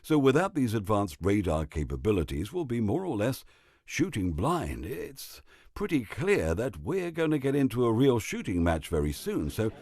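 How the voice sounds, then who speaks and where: A man playing a grave military expert, giving measured, ominous analysis in a mock news drama. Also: lowish voice, wildly swooping pitch, darkish tone, no room sound, studio quality, heavily acted. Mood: worried.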